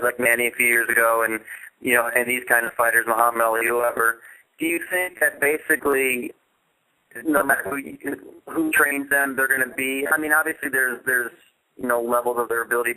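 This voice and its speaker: A man hosting a casual sports radio interview, asking long, halting questions that ramble. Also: mid-range voice, bright, forceful tone, rough recording. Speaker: radio show host, interviewer.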